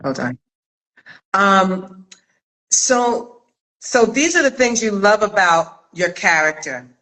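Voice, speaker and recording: A middle-aged woman hosting a casual chat interview, thinking aloud with hesitant pauses. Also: medium pitch, sing-song, fairly bright tone, poor audio. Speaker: interview host and director.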